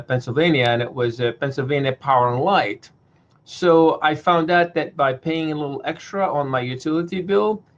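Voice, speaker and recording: Older man from Syria, dull audio. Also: on the high side. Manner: hesitant, many fillers and restarts. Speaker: webinar host. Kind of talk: casual remarks in Q&A.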